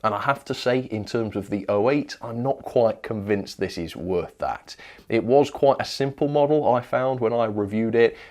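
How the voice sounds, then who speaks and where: A man's voice: medium pitch, normal speed, a little mellow. A man with a British accent giving a casual, opinionated product news commentary, speaking conversationally with little asides. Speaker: presenter of a model train review channel.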